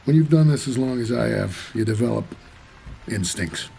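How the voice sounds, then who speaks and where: An actor playing a wry, world-weary cab driver for the dead, hard-boiled and laconic in a noir audio drama. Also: male voice, medium pitch, expressive, moderate pace, vocal fry, dark tone, slight background noise. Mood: brooding, wry. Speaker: narrator and lead character.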